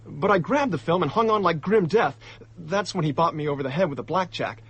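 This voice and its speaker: An actor playing an indignant, hot-headed young male diplomat in a radio drama, talking in excitable slang. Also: fairly high pitch, a little fast, breathy edge. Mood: agitated recounting.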